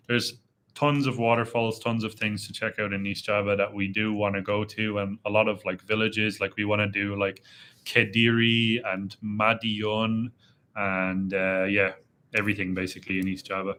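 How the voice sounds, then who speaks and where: A young man with a slight Irish accent hosts a relaxed live chat from a dim room, his voice bright and forceful. He rambles easily and slows down as he reads comments aloud. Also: lowish voice. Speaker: travel vlogger hosting a live Q&A. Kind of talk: casual live-stream chat reading viewer comments.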